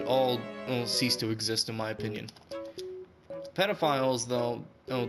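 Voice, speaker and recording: Hesitant man with an English accent. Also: mid-range voice. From Saudi Arabia. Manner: rambling, hesitant, many fillers and restarts. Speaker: gaming video creator. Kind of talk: unscripted voice-over commentary. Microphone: voice-over over gameplay footage. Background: guitar music.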